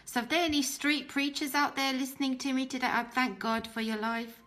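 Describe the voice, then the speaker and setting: A woman in her thirties giving an informal personal testimony to camera, speaking in an earnest, reflective, halting way and repeating phrases for emphasis. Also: high-pitched, level tone, a bit bright. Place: small room at home, indoors. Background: music.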